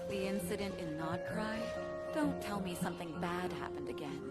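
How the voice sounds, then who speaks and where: A woman's voice: on the low side, moderate pace, close to an everyday voice. A woman playing a cool, jaded, sardonic tavern patron in a video game, dry and world-weary, with music behind. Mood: weary concern.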